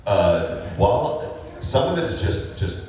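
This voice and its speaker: A man's voice: on the low side, sing-song, takes it slowly, darkish tone. A man answering fan questions as a voice actor on a convention panel, casual and rambling, with lots of fillers and chuckles. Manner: rambling, laid-back, many fillers and chuckles. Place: large convention hall. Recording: echoey hall recorded from far off.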